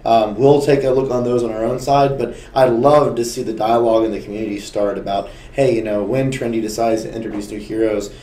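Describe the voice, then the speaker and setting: Gravelly-voiced man in an echoey room. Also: lowish voice, fairly even intonation, brisk, hardly any pauses, dark tone. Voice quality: gravelly, injured voice. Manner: candid, rambling with restarts. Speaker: game developer co-host. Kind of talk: casual dev stream chat.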